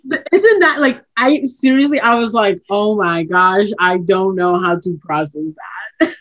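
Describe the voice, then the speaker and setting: A woman's voice: medium pitch, a little slow, highs cut off. A young woman hosting a podcast, affirming her guest and thinking aloud about family and immigration. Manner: warm affirming backchannels, thoughtful. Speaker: podcast host. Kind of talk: casual conversation.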